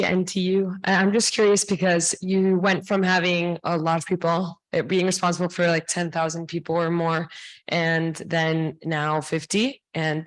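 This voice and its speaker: A young woman, a doctoral student in the audience, asking a speaker a question hesitantly, searching for words. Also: deep voice.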